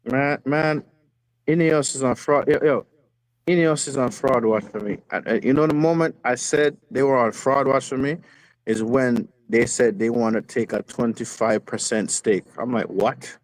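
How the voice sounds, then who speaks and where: Low-voiced man ranting as a football fan. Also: moderate pace, no echo, poor audio. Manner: emphatic, repeats words and phrases for stress. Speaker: fan caller on a football live stream. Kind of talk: impassioned fan rant, casual. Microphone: phone, video call.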